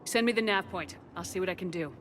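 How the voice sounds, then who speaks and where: A woman's voice: on the low side, quick pace, noisy background, close to an everyday voice. A woman voicing a tough, commanding human military commander in a video game, firm, blunt and authoritative. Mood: steady, resolute.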